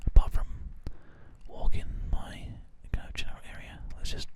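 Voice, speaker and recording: Whispering man, ASMR gaming commentary. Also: moderate pace. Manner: rambling, gently explanatory. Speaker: host of an ASMR gaming show.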